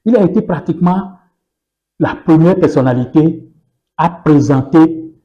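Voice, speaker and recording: A man's voice: fairly high pitch, unhurried, dull audio. Yoruba-speaking guy on a politics interview, pushy, repeats himself, keeps asking "you see?". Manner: emphatic, repeats phrases, rhetorical questions. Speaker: political party guest.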